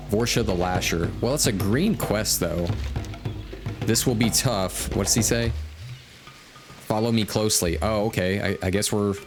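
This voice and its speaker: Male game streamer, deeper voice, casual commentary, laid-back, prominent music behind. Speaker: game livestream host. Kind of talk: casual gameplay commentary, reading quest text aloud.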